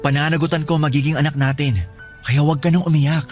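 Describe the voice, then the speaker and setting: A man playing a gentle, puzzled, devoted young boyfriend in a Filipino radio drama, coaxing and hesitant. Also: on the high side, steady tone, fast talker, faint music behind, natural voice. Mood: resolved.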